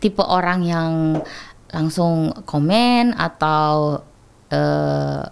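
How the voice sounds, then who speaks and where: A woman's voice: low-pitched, drawn-out words, creaky, clear, resonant tone. A woman hosting a podcast interview, asking brief questions and prompting the guest. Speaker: podcast host. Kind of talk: casual interview.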